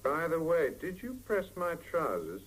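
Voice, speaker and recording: An actor playing a well-meaning but helpless, self-absorbed young husband in a 1970s radio drama, hesitant and wheedling. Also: male voice, fairly high pitch, sing-song, moderate pace, low-quality recording. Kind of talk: 1970s radio drama, naturalistic domestic dialogue. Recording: hissy 1975 radio drama cassette, mono.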